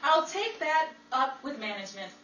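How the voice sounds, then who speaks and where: A woman's voice: high voice, sing-song, normal speed, highs cut off. A woman hosting an amateur audio show, presenting to listeners. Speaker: show host. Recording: distant echoey room, noisy with crowd sounds.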